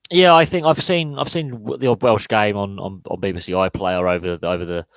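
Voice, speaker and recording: A man's voice: lowish voice, fairly quick, no echo. A man hosting a live podcast Q&A, talking through opinions with lots of fillers and restarts. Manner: opinionated, answers chat live, many fillers and repeated words. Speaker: podcast host.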